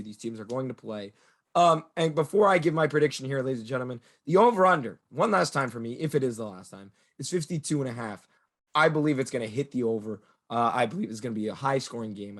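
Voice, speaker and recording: A young man hosting a sports preview show in an upbeat, announcer-like way, his voice slightly raspy from a cold. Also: medium pitch. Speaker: show host.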